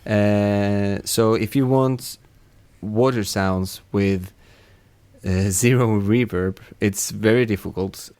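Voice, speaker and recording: Male sound designer, lowish voice, very unhurried, halting delivery, casual interview chat. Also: steady tone, darkish tone. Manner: halting, searching for words.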